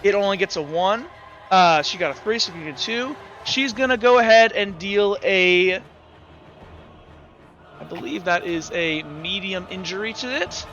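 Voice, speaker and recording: High, bright-voiced young man running a game. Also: moderate pace. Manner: loose, rambling narration with frequent fillers. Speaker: game master. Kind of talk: narrating a tabletop game. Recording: slightly muffled, dull audio. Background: faint background music.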